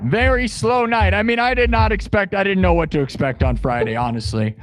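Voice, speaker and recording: A man hosting a music livestream, chatting casually and slangily with his viewers and giving hyped shout-outs. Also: fairly quick. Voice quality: bass singing voice.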